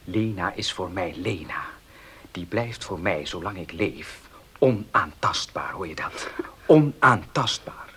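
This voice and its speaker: Husky, headstrong farm boss. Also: male voice, low-pitched, animated, slow pace, a bit bright, full character voice. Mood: defensive, placating. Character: headstrong farm owner and husband, defensive, commanding in crisis. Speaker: actor in a radio play. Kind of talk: Dutch radio drama acting.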